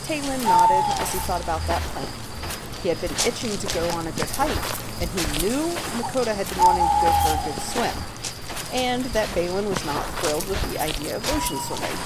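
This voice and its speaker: A woman's voice: lowish voice, very noisy. A woman reading a story aloud as scripted narration.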